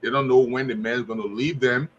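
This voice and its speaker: A man's voice: medium pitch, fairly even intonation, a little fast, breathy edge, highs cut off. A man on a radio panel, confidently giving his opinions and telling stories with quoted dialogue. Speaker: studio guest panelist. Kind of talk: opinion talk.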